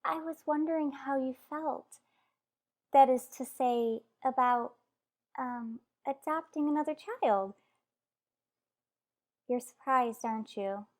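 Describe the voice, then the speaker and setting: A woman playing a gentle, affectionate wife in an old-time radio soap, speaking tentatively with halting phrases. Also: high-pitched. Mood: tentative, earnest. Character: gentle, hesitant wife longing to adopt an older boy.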